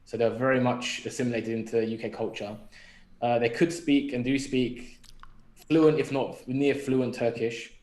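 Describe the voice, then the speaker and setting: A man's voice: mid-range voice, fairly even intonation, moderate pace, a little mellow. A young man from London with a London accent, chatting casually and reflectively on a podcast, thinking aloud and posing questions to himself. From London, England. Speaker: podcast speaker. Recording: roomy echo on the voice.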